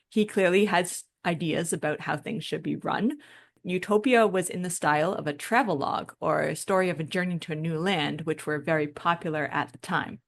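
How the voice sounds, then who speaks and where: A woman's voice: low voice, gravelly. A woman co-hosting a podcast, explaining things informally with a geeky bent in casual discussion. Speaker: podcast co-host.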